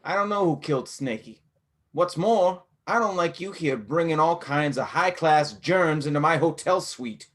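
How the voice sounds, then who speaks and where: An actor playing a surly, boastful gangster in a detective radio play. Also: male voice, on the high side, expressive, moderate pace, a bit bright.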